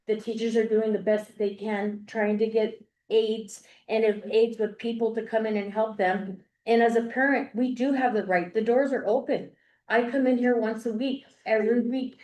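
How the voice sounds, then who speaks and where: A woman's voice: mid-range voice, warm tone. An older woman, a grandmother, giving an earnest, rambling public comment at a school board meeting, often repeating herself. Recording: echoey meeting room.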